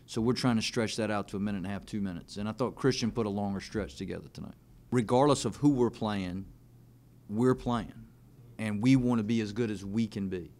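A man in his thirties, a basketball coach answering questions after a game, speaking in a measured, plain way with frequent fillers into a desk mic in a press room. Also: on the low side, a little mellow. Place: press room in front of a team backdrop. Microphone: desk mic on a stand.

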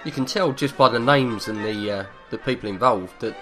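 A man's voice: medium pitch, moderate pace. A man giving casual, jokey commentary as he plays a video game. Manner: jokey, chuckling asides. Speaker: let's-play host. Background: game music.

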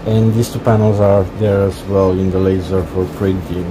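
A man casually walking through his home-built cockpit project, talking haltingly with many fillers. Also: low voice, level tone, background noise. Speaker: hobbyist cockpit builder. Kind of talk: casual build walkthrough. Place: workshop.

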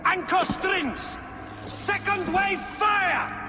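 Bitter fanatical commander in a game, slow grand speechifying, big reverb. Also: male voice, very high voice, full character voice. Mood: fierce commanding. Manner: grandiose, declamatory. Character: fanatical, bitter Viking-age archer commander and orator. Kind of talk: dramatic video game acting. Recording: noisy, long echoing reverb.

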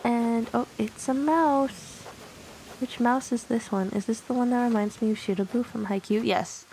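Chatty woman with a gravelly edge. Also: a little high, fairly even intonation, normal speed, darkish tone, a little hiss or hum, poor audio. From Canada. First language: Tagalog. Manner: chatty, rambling, self-conscious laughs. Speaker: gaming YouTuber. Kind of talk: casual gameplay commentary.

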